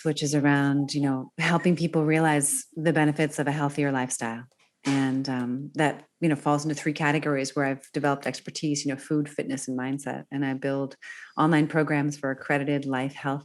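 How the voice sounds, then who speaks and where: A middle-aged woman from Northern Virginia, chatting enthusiastically as a podcast guest and laughing easily. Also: deep voice. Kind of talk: casual conversation.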